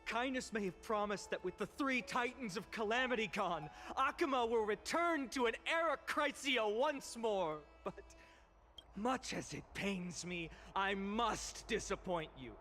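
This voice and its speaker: An actor playing an earnest young male hero who gives a solemn, measured speech in a video game. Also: sits high, sharp tone. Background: game music.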